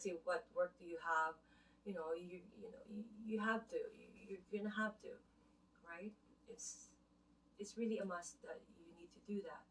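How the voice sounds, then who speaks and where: A woman with a Filipino accent giving casual spiritual life advice in a rambling way, often checking in with "right?" between her points. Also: lowish voice. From Philippines. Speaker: vlogger. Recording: voice from a distance off the mic.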